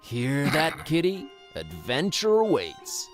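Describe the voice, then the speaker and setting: A man's voice: fairly high pitch, huge pitch swings, takes it slowly, full character voice. An actor playing an easygoing, cheerful young male adventurer in a cartoon adventure game, breezy and casual, with music underneath. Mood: upbeat.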